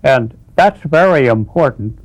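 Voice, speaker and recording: An eighty-one-year-old man, an author, speaking reflectively in an interview, haltingly, phrase by phrase. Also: medium pitch, lively intonation, low-quality recording.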